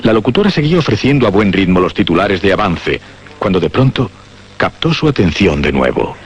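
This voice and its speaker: Male narrator, low-voiced, lively intonation, dramatic suspense storytelling, deliberate, lo-fi radio play recording. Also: breathy edge. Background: music.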